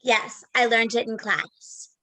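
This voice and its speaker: Obliging android classmate, played by a woman: bright crisp edge, highish, formal and literal, stage reading. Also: slow pace, exaggerated voice, poor audio. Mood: matter-of-fact. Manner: flatly literal, formal, precise. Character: literal, polite female computer schoolgirl, unfeeling, obliging.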